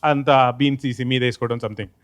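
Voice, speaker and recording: A man's voice: mid-range voice, steady tone, quick pace. A man with a Telugu accent telling anecdotes casually in a group interview, mixing in English phrases. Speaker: film team member being interviewed.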